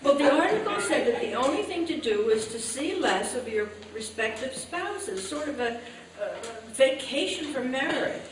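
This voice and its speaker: A woman's voice: high-pitched, animated, moderate pace. A woman playing a dramatic, earnest, scheming housewife in an old-time radio comedy, performed live on stage. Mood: brightly explaining. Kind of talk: old-time radio sitcom acting before live audience. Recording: echoey hall, distant live stage, faint noise.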